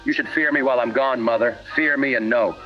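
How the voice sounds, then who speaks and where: A man's voice: mid-range voice, a little fast, close to an everyday voice. A man playing a violent, boastful gangster mama's boy in a parody radio drama, crude and menacing. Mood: slow menace. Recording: muffled old-radio sound with music.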